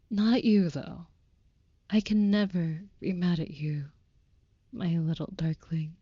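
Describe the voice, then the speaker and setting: A woman voicing a devoted, youthful but centuries-old vampire lover in an intimate audio roleplay, speaking softly with breathy, tender, archaic formality. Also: medium pitch, dry recording, lo-fi sound. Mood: tender, soothing.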